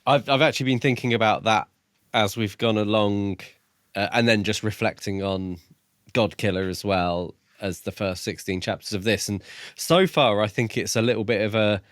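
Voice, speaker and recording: Lower-pitched man, reflective podcast chat. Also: normal speed, a bit bright, no room sound, clean studio sound. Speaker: book podcast co-host. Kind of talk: casual book discussion.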